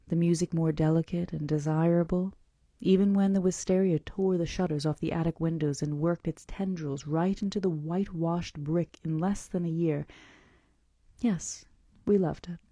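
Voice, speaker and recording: A woman reading the part of a calm, melancholy male vampire who tells of his past in a gentle, measured, confidential way. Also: on the low side, dry recording, studio quality. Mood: wistful, reflective. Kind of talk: audiobook-style character reading.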